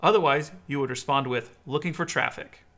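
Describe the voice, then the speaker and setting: An American man in his thirties giving a clear instructional explainer as a video host. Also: medium pitch, a little fast, a bit bright.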